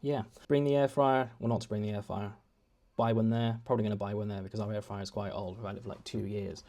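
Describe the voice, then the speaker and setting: A man's voice: on the low side, fairly even intonation, fast talker, mellow. A young man chatting at home in a casual vlog, rambling and self-deprecating with many restarts. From United Kingdom. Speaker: vlogger. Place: home, indoors.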